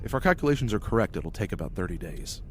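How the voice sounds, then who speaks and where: Guy playing eager wry crewman, talks quick, sci-fi radio play, faint rumbly noise. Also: big pitch swings. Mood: practical, wry. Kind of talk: science fiction audio drama acting.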